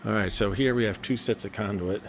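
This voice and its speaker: Low-voiced man giving a casual walkthrough. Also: brisk, background noise. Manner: informal, explanatory. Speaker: nonprofit staffer presenting a project. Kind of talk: casual walkthrough video narration. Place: large school auditorium with balcony, indoors.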